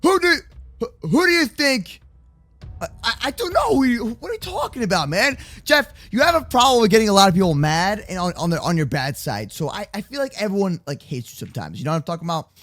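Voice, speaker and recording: A young man doing excitable, playful gaming roleplay commentary for children, slangy and exclamatory. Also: high-pitched, quick pace. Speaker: host of a gaming roleplay channel. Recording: faint music behind the voice. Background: faint music.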